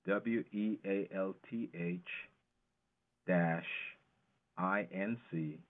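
A man's voice: low-pitched, extremely slow. A man explaining things as an interview guest, emphatic and fond of posing questions that he then answers himself. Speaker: financial educator, interview guest. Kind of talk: explanatory radio interview talk. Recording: narrow, tinny phone-line sound.

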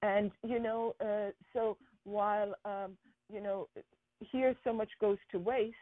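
A woman whose first language is Persian, a guest talking earnestly about her charity work, with many pauses and fillers. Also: mid-range voice, fairly even intonation, no echo. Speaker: guest, school founder. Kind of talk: interview conversation. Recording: tinny phone line.

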